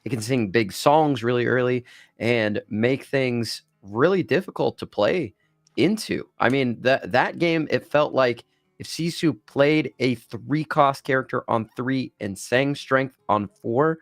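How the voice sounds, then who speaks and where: A man's voice: mid-range voice, measured pace, dry recording, studio quality. A man co-commentating on a card game, giving analysis while still getting over a bad cough.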